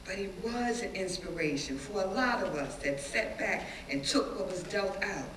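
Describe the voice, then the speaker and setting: A woman performing a dramatic stage reading as a defiant, plain-spoken schoolteacher recalling riots, with animated, emphatic storytelling and dramatic pauses. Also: medium pitch, distant mic, natural voice. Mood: plain-spoken reminiscing. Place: echoey indoor room, live reading before an audience. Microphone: distant microphone. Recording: roomy, noisy hall recording from afar.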